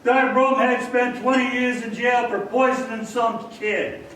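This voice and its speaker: A man playing a gruff, suspicious working man in a stage radio play, curt and brusque. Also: very high voice, level tone, a little slow, a bit bright. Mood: angry, bullying. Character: gruff, hostile working man of a tough town. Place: live stage in a large echoing hall. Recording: echoey hall from far off, faint noise.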